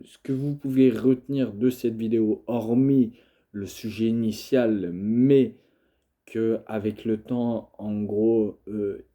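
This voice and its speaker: A man's voice: lowish voice, warm tone. A young man with a cold, talking casually to camera in a hesitant way, full of fillers and restarts. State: has a cold, coughing. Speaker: video creator.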